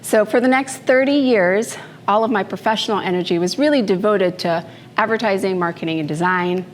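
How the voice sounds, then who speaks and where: A woman in her fifties from the Philippines, giving a personal talk to a live audience in an unhurried, conversational way with fillers and asides. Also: mid-range voice, slight background noise. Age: 50s. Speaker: speaker at a morning talk. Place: event room before an audience. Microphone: handheld microphone.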